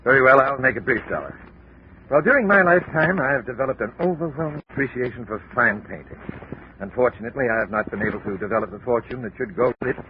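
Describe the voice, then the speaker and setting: A man's voice: mid-range voice, animated, fast, phone-quality sound, close to an everyday voice. A man playing a formal, earnest, self-important art exhibition organizer in a 1950s radio drama. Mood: formal, brisk. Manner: pompous, formal, wordy. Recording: thin, noisy old radio recording.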